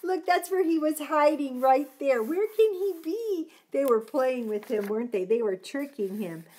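A woman's voice: high voice, moderate pace, a little mellow. A middle-aged woman reading a storybook aloud to young children, with chatty, encouraging asides and simple questions. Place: small home room, indoors.

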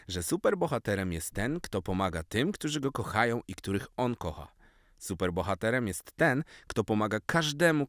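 A man's voice: low-pitched, dry recording, studio quality. A man voicing a patient elderly grandmother in a children's story, explaining things affectionately to her grandson.

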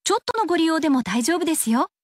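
A woman's voice: very high voice, very animated, rapid-fire, no room sound, clean studio sound. A woman reading a scripted radio commercial. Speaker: advertisement announcer.

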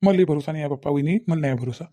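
A man's voice: a little high, very fast, dark tone, lightly acted. A man playing a distrustful middle-aged village father-in-law in a cartoon comedy, nagging sceptically and repetitively with a Vidarbha Marathi accent. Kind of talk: cartoon family comedy dialogue.

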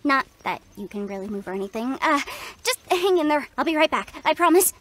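Very high-pitched anxious young jester. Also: female voice, sing-song, fairly bright tone. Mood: flustered, hesitant. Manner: halting, stammering, flustered. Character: anxious young female jester, timid newcomer. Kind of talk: animated series voice acting.

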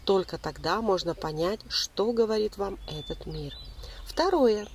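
Woman narrating over clear background noise. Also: mid-range voice, lively intonation, measured pace. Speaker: narrator giving spiritual self-help advice.

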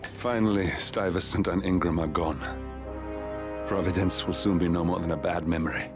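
An actor playing a grave, vengeful rebel leader in a video game, speaking in a measured, bitter way. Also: male voice, low voice, steady tone, music in the background, natural voice. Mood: grim satisfaction. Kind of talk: video game cutscene acting. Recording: echoey hall reverb.

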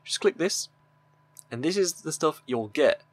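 A young man giving a casual, upbeat YouTuber voice-over for a software tutorial. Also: on the high side, lively intonation, unhurried, no room sound. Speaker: tutorial video host. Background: background music.

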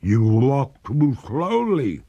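A man voicing a genteel, desperate female water ghost who pleads in drawn-out, formal, old-fashioned speech. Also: medium pitch, very animated, mellow, dull audio, pitched above the performer's own voice, heavily acted. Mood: anxious pleading.

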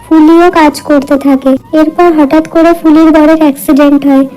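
A woman's voice: high voice, fairly even intonation, normal speed, mellow, poor audio. A woman narrating a scripted fairy tale as storyteller. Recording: music under the voice.

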